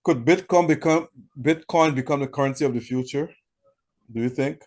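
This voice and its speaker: A man hosting an interview, asking measured questions and repeating key points back. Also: medium pitch, a little mellow. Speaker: interviewer host.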